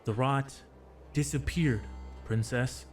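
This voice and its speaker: A burly male pony from an audio drama acted by a man, tentative and soft-hearted. He speaks haltingly and with concern, at a medium pitch, with faint music underneath. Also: fairly even intonation, lightly acted. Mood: hesitant, uneasy. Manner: hesitant, halting. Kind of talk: audio drama character acting.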